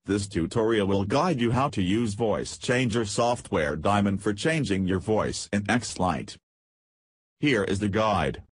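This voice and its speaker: A man reading scripted step-by-step software instructions in a measured way as a tutorial voice-over. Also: fairly low pitch, breathy edge, dry recording.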